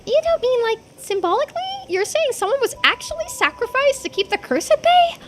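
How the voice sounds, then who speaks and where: A woman voicing an excitable, inquisitive young islander in video game dialogue, eager and full of questions. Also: extremely high pitch, a little fast, faint music behind. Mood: shocked.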